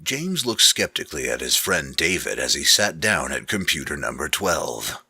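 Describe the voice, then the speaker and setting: A man's voice: extremely low pitch, very animated, normal speed, pushed and bright, breathy edge, no echo, clean studio sound. A man giving a dramatic, scripted narration of a story. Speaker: narrator of fan fiction readings.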